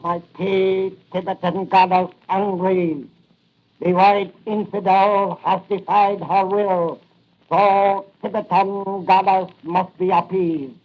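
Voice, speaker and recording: An actor playing a fanatical villainous priest in an old radio melodrama, chanting solemn pronouncements in broken English. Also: male voice, sits high, level tone, takes it slowly. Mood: menacing, solemn. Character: menacing fanatical masked cult priest, male villain. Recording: muffled vintage radio recording.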